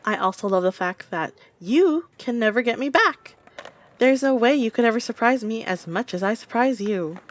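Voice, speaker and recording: Woman acting high-pitched, teasing prankster girl. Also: moderate pace, close to an everyday voice. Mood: gleeful, teasing. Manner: animated, bantering, boastful. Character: playful, teasing young prankster woman, smug and excitable. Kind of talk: casual comedic audio roleplay.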